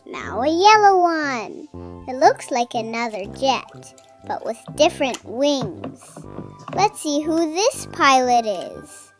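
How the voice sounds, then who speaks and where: Lady doing playful kids learning narration, really slow and simple, high girlish pitch, light kiddie music behind. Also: very animated. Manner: simple, playful presenting with questions and exclamations. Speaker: narrator of a children's learning video. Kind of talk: scripted educational narration for young children. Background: children's background music.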